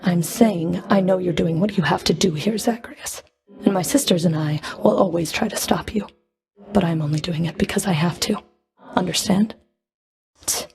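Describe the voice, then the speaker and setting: A woman playing a cold, proud Fury of the underworld in a video game, dry and cutting, with a creaky edge to her voice. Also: fairly low pitch, darkish tone. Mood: guarded, stern. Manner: dry, cutting, haughty, controlled. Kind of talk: video game character voice lines.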